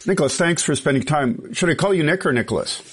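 A man's voice: fairly high pitch, animated, fast, fairly bright tone, slightly rough. A man hosting a radio interview show, asking curious questions with casual asides. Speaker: radio talk show host. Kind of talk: interview conversation.

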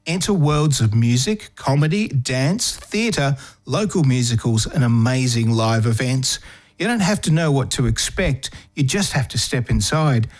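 Ad read, man, mid pitch, smooth and inviting. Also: lively intonation, unhurried. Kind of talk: scripted ad voice-over.

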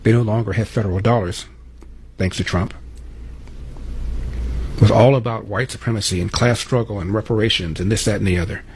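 A man's voice: on the low side, steady tone, brisk, pauses often, darkish tone, slight background noise. An American man hosting political commentary, delivering an opinionated monologue with emphatic rhetorical questions.

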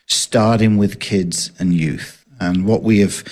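A man's voice: low voice, takes it slowly, darkish tone. Earnest accented man on radio. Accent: accent not native to the area. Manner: earnest, with frequent fillers and restarts. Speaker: radio guest, ministry director. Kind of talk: casual radio interview.

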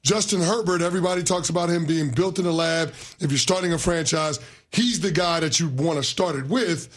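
Man, sports talk host, hoarse, husky, higher pitch, casual debate. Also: brisk. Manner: poses questions to the panel.

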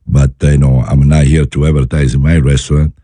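A man from Italy with an Italian accent, a chef talking as a studio guest in halting English, full of fillers and restarts. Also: a bass voice, flat delivery, dark tone, no echo. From Italy, born in Naples, raised in Milan. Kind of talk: studio interview.

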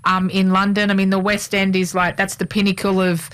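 A woman's voice: medium pitch, flat delivery, brisk, a bit bright, smooth. A woman hosting a radio interview, asking long, rambling questions and correcting herself as she goes. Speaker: radio host.

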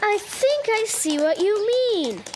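Lady doing a little horse girl, super high lively voice, sure of herself, kids radio play, bit of music under. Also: takes it slowly, background noise, heavily acted. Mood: calm, assured. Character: confident, self-reliant young country girl who rides horses.

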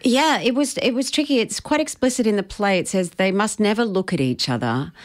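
A woman's voice: medium pitch, animated, a little fast. A middle-aged Australian woman, a stage actor, talking thoughtfully and expressively in a radio interview, often restarting her sentences.

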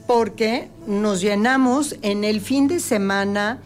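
A woman takes her time, laying out ideas patiently with homely examples. She speaks at a mid pitch and a slow, steady pace. Soft music plays faintly underneath. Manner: explains patiently with everyday examples. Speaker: health guest expert. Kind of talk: explanatory talk-show chat.

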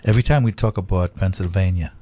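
A man's voice: low-pitched, quick pace, mellow. A man from Haiti hosting an interview, asking polite questions and murmuring along as he listens.

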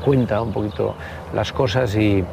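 A man's voice: lowish voice, steady tone, normal speed, creaky, noisy background. A man in his sixties with a Basque Country Spanish accent, telling anecdotes casually in a rambling, colloquial way as an interview guest. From Basque Country, Spain. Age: 60s. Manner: rambling, colloquial with frequent tags. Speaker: interview guest, party member.

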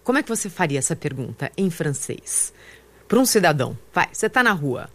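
A Brazilian woman commenting on the news on a radio show, emphatic and quick to laugh. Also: low voice. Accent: Brazilian Portuguese. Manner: emphatic, lively, laughs often. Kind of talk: opinion commentary.

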